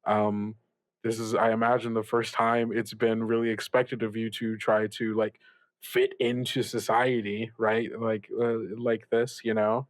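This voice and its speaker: A man playing a reassuring older radio mentor in an improvised audio drama, giving gentle advice in a halting, rambling way. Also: lowish voice, little pitch movement, dry recording, natural voice. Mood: encouraging. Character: reassuring older male radio mentor, upbeat, evasive about troubles. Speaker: student radio show host. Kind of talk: improvised actual-play audio drama, conversational.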